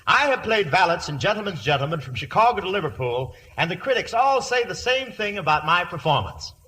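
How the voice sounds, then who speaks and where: Bright-voiced, conceited ham butler. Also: male voice, sits high, very animated, brisk, exaggerated voice, low-quality recording. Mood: boastful. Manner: theatrical, wisecracking. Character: cheeky, conceited ham actor posing as butler. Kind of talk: 1940s-1950s radio comedy acting. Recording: old radio broadcast with faint hiss.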